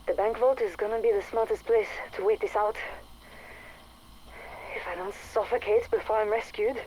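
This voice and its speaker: An actress playing a bossy, bitter scientist recording an audio log for a game, with a dry, blunt, exasperated manner. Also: female voice, mid-range voice, steady tone, moderate pace, dark tone, natural voice. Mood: grim, resigned. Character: bossy, bitter, sardonic female scientist doctor. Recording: noisy audio log tape.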